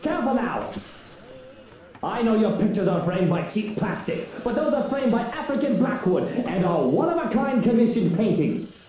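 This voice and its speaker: A woman's voice: medium pitch, very animated, moderate pace, mellow, lightly acted. A woman playing a bossy, snobbish overseer of workmen in a visual novel game, haughtily barking orders from across an echoey space. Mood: scornful, irritated. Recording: distant, echoey, faint background noise.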